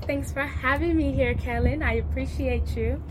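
A woman's voice: high voice, fairly even intonation, normal speed. A young woman from Florida, a guest in an outdoor interview, talking candidly and with emphasis in a casual, conversational way. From Florida, USA. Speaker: guest, spiritual reader. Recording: noisy outdoor recording.

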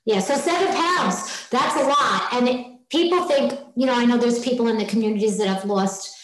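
A woman's voice: fairly high pitch, moderate pace, roomy sound, rough recording. A woman in her sixties hosting a casual interview, talking with effusive enthusiasm. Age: 60s. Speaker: podcast host.

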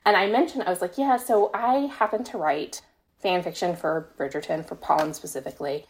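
A woman's voice: fairly low pitch, brisk, rough recording. Young adult woman, American, podcast guest, casual storytelling, self-deprecating. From United States. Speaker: podcast guest, fan fiction writer. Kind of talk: casual chat, personal anecdotes.

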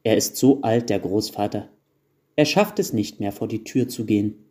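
A man voicing a motherly, brisk gnome wife in a storybook tale. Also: lowish voice, dark tone, natural voice. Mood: motherly, firm.

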